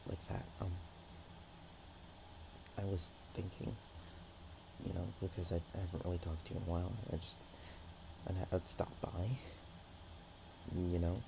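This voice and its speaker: A man voicing a shy, playful young dragon-dog girl in an ASMR roleplay, speaking softly and haltingly with naive affection. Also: low voice, creaky, background noise. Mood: shy, affectionate. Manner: hesitant, halting, giggly, soft-spoken. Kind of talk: ASMR roleplay, one-sided intimate chat.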